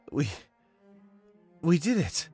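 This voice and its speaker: A man's voice: fairly high pitch, a little mellow, long reverb tail, pitched above the performer's own voice, full character voice. Man acting earnest, swooping heartfelt warrior. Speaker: visual novel character voice. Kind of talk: acted dialogue read in a let's play. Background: background music.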